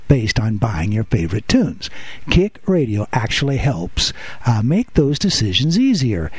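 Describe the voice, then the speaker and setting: Male host, slightly husky, very animated pitch, dry close-mic sound, self-mocking radio chatter. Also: no room sound. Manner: chatty, self-deprecating, with filler pauses. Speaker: podcast host. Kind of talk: casual radio patter. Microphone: close to the microphone.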